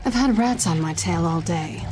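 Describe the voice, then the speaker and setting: A woman's voice: on the low side, moderate pace, over music, lightly acted. An actress playing a tough, streetwise female thief in a video game, wry, cool and guarded. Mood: cool, irritated.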